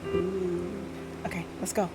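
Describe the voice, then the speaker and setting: A woman's voice: on the low side, completely flat delivery, slow. A woman livestreaming a game in a casual, chatty way, thinking aloud and reading on-screen text. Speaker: live game streamer. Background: music.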